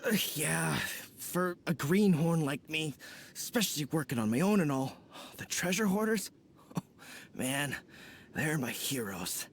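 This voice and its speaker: An actor playing a young masked apprentice thief who is bumbling and folksy, speaking with a slangy drawl. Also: male voice, fairly high pitch, lively intonation, unhurried, vocal fry, slight background noise. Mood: sheepish. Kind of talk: video game voice acting.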